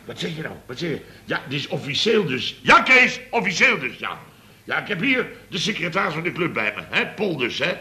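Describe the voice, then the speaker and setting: A blustering contractor boss from a radio comedy serial. His voice is rather high, piercingly bright and swings wildly up and down. He stammers, repeats himself and gets excitedly flustered. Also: fairly quick, full character voice.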